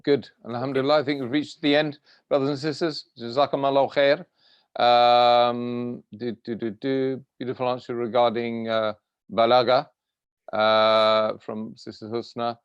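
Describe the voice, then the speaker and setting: A man hosting an online question session, reading out viewer questions with a hesitant, halting manner. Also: mid-range voice, level tone, smooth, no echo. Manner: hesitant, many fillers. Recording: dull dry call audio.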